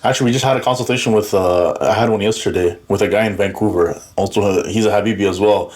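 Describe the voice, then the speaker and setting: A man's voice: lowish voice, fast talker, a little mellow. A man hosting a podcast, chatting casually with a guest in a loose, joking, slangy way. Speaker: podcast host. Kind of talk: casual interview chat.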